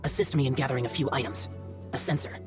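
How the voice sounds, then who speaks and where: A man's voice: medium pitch, fast, over music, close to an everyday voice. A man playing a stern, aloof young demon-slaying immortal in a video game, speaking curtly and formally. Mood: solemn, instructive. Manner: curt, formal, archaic phrasing. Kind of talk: video game character acting.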